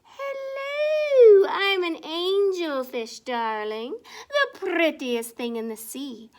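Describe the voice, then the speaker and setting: Brief: woman voicing a vain storybook angelfish, high, gushing, very self-admiring. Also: lively intonation, slow pace, heavily acted. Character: vain, glamorous female angelfish.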